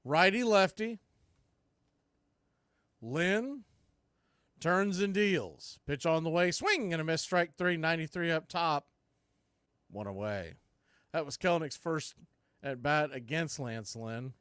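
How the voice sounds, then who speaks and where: Clear-voiced man calling baseball play-by-play. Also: animated, slow pace, long pauses, a bit bright, no room sound, studio quality. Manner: casual partisan home-team asides between clipped pitch calls. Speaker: baseball play-by-play announcer and stream host. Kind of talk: live baseball play-by-play commentary for a fan watch party.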